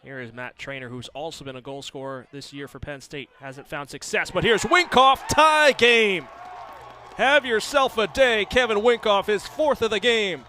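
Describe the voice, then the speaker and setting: A man's voice: high-pitched, normal speed, bright, forceful tone, a little hiss or hum. A man calling live sports as a play-by-play announcer on a broadcast.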